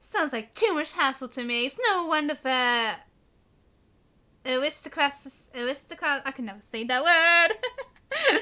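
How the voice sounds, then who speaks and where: A woman with a British accent giving casual, chatty, animated commentary as she live streams a game. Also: high-pitched, unhurried. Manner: giggly, animated, exclamatory.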